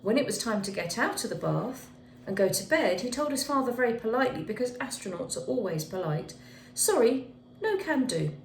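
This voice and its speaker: A woman's voice: a little high, animated, brisk. A woman reading a children's picture book aloud expressively, with dramatic emphasis on key words. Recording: echoey room with faint background noise.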